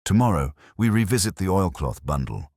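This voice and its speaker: A male narrator voices a shrewd, composed detective in a mystery story. He is very deep in pitch, measured and probing, with marked rises and falls and a dry sound. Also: big pitch swings, darkish tone, breathy edge, no echo, heavily acted. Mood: resolute, brooding.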